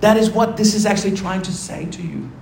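A man with a high voice preaches a sermon in an echoey church room, with a faint hum of ambience underneath. He poses rhetorical questions to the congregation and alternates between brisk asides and slow, dropped-down phrases for emphasis. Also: gravelly. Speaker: pastor.

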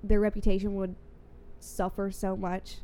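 A young woman talks in an easygoing, casual way, her voice mellow and a little stuffy from congestion. When she is sure of something she gets worked up and her pitch jumps. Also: moderate pace, slight background noise. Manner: animated, rises sharply in pitch when emphatic. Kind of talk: casual chat.

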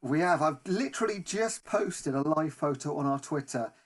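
A man with a fairly high voice chats as a podcast co-host. His delivery is informal and conversational, like talk between two regular hosts. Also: lively intonation, normal speed. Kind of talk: casual football podcast chat. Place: remote online recording.

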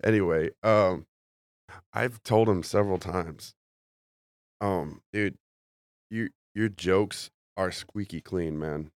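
A man's voice: low voice, slow, pauses often, no echo. A congested man, a comedian guest, rambling through jokey stories in a casual podcast chat.

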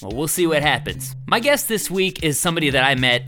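A man's voice: fairly high pitch, a little fast. A man hosting a comedy show, wisecracking through music news and chatting with a guest. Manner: wisecracking, animated. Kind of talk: comic music news chat.

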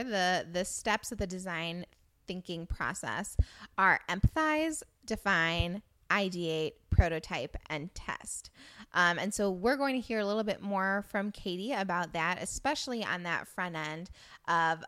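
Woman podcast host, bright tone, lowish pitch, clearly spoken intro.

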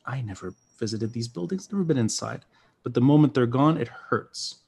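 A man's voice: medium pitch, lively intonation, normal speed, vocal fry, darkish tone, breathy edge. A man who grew up in Beirut, hosting a podcast interview in an earnest, searching way and often correcting himself. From Lebanon (Beirut). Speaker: podcast host. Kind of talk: conversational interview.

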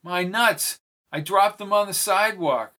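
A man voicing an excitable, goofy young man who speaks in an earnest, worked-up way. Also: sits high, unhurried, pitched above the performer's own voice. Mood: excited.

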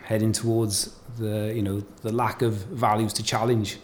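A man talking in a thoughtful, measured way, with fillers, in a casual academic discussion. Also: fairly low pitch, little pitch movement, slight background noise.

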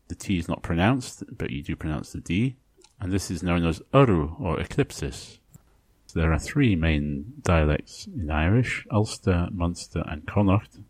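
A man hosting a podcast, explaining in a lecture style with measured, segmented phrasing. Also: low voice, dry recording. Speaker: podcast host. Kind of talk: explanatory lecture narration on language.

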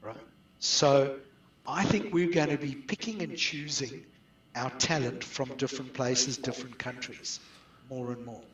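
A man's voice: medium pitch, a little slow, a bit bright. A middle-aged man with a South African accent, answering interview questions conversationally as a business guest. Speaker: guest outsourcing business expert. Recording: roomy echo, distant from mic.

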